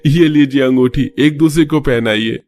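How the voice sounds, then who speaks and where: A man's voice: mid-range voice, moderate pace, darkish tone, faint music behind, lightly acted. A man playing a practical, peacemaking son and husband in scripted story dialogue. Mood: proud.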